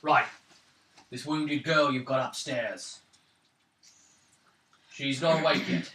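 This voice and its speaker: A man's voice: mid-range voice, slow, natural voice. A man voicing a wary, weary village warrior in a tabletop game, speaking formally and measuredly as he questions strangers. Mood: grave. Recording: roomy far-off mic, faint noise.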